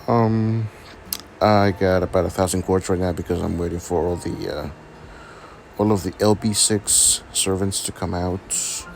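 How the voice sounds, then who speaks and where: A man with a raspy voice from being sick, hesitantly walking through his game account in a casual screen-recording chat. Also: low-pitched, fairly even intonation, unhurried, a little mellow, slight background noise. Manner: hesitant, many ums and restarts. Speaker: gaming channel host.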